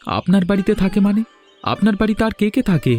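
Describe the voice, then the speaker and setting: A man's voice: sits high, expressive, fairly quick, darkish tone. A man voicing an earnest young husband in a Bengali cartoon, speaking emphatically. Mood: pleading. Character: earnest young husband pleading with his wife. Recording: music behind the voice.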